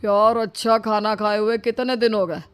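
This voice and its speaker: A woman's voice: medium pitch, steady tone, moderate pace, clear, resonant tone, faint music behind, close to an everyday voice. A woman voicing an idle, sarcastic young son-in-law who grumbles in a comic story. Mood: grumbling. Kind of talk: acted comedy story dialogue.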